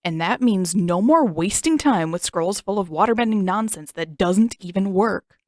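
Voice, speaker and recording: A woman's voice: mid-range voice, expressive, normal speed, darkish tone, no room sound, clean studio sound, close to an everyday voice. A woman doing a sarcastic teenage brother. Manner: teasing, blunt and dismissive. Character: teenage boy, sarcastic, bossy older brother.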